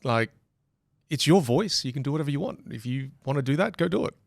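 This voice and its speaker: A man's voice: mid-range voice, quick pace, gravelly, no echo. A man with an Australian accent that leans British, a podcast guest talking casually and animatedly about communication. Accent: Australian, leaning British. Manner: animated, acts out other voices. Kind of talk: casual conversation.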